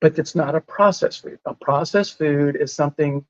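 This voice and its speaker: A man's voice: fairly high pitch, normal speed, no room sound, dull audio. A man in a casual podcast conversation, explaining whole foods in a patient way and laughing often. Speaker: lifestyle medicine advocate.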